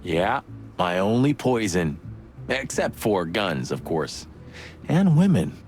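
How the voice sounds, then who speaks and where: A man's voice: on the low side, big pitch swings, slow pace, a little mellow. An actor playing a playful, joking male bird cop in a video game. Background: game music.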